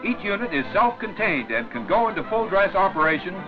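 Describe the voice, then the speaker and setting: Male newsreel announcer, 1940s, high-set showman delivery, scripted, muffled old film audio over music. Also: big pitch swings, a little fast. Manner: jaunty, declamatory showman delivery. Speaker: newsreel narrator. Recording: dull vintage 1940s newsreel soundtrack.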